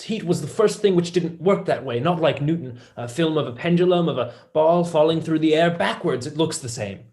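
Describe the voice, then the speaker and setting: A man's voice: a little high, brisk, darkish tone, natural voice. A man playing an earnest young scientist in a staged play reading, talking in an explanatory way. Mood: earnest, absorbed.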